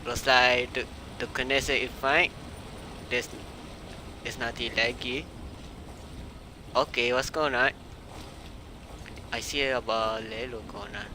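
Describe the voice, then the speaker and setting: A man speaking halting non-native English, giving casual live commentary while streaming a game. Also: mid-range voice, little pitch movement, pushed and bright. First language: not English. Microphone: uncovered microphone prone to breath pops. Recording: very noisy uncovered mic with breath blasts.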